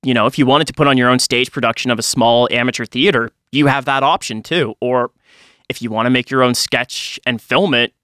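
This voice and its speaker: A man with a bright, forward voice chats casually as a podcast co-host. He is animated and slangy, and the sound is dry and close. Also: medium pitch, a little fast, no echo.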